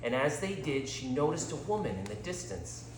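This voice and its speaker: A man reading a story aloud as a storyteller, with deliberate, theatrical dramatic delivery. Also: medium pitch, very animated, slight background noise. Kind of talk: scripted story narration, dramatic read-aloud. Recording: roomy, echoing sound.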